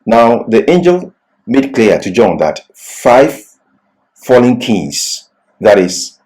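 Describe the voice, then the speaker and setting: A man giving a religious seminar lecture as a Bible class teacher, with deliberate, emphatic phrasing broken by pauses mid-sentence. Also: medium pitch, very animated, darkish tone, low-quality recording.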